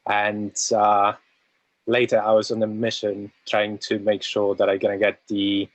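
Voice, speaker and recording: A man's voice: on the low side, completely flat delivery, a little slow, no room sound, poor audio. A man chatting on a video call through his laptop, hesitant and rambling with many fillers. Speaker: community member. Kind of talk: casual video call chat. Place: room with a laptop, fan noise mentioned. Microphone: laptop mic on a video call.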